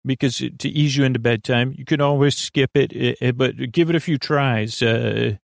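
A man with a medium-pitched, creaky voice hosts a sleep podcast. He rambles without a script, halting, repeating words and drifting away from his point. The recording is dry, with very little room sound. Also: steady tone, dry recording. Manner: meandering, halting, repeats and trails off mid-thought. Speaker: podcast host.

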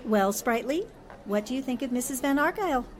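Woman acting a poised, high-voiced lady sleuth. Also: animated, brisk, some chatter behind. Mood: amused, assured. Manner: polished, arch, teasing endearments. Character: poised, shrewd, witty newspaperwoman amateur sleuth. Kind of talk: 1940s radio drama acting.

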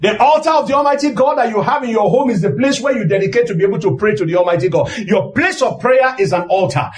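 A man's voice: high voice, quick pace. A man preaching a sermon in an emphatic, exhorting way, often raising his voice and repeating phrases for rhetorical effect.

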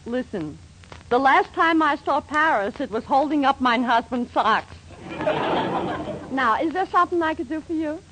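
An actress playing a brassy, middle-aged wisecracking shopkeeper with a New York Yiddish accent, in 1940s radio comedy style. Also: female voice, high-pitched, normal speed, crowd noise. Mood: teasing, wry. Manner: wisecracking, teasing, animated. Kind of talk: 1940s radio sitcom acting. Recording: noisy old radio recording, studio audience.

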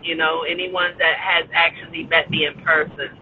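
A man's voice: on the high side, little pitch movement, moderate pace. A man in his forties calling in from a car, upbeat and encouraging, laughing often. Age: 40s. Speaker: podcast guest. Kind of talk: casual chat. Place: in a car on the street. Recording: tinny phone line with faint road noise.

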